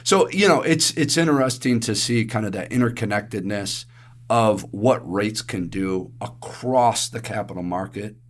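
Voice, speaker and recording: Casting: lower-voiced Midwestern man, raspy and a bit hoarse, chatty podcast advisor. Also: moderate pace. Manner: chatty, explains with everyday analogies. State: hoarse from allergies. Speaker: investment advisor co-host. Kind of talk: casual podcast market commentary.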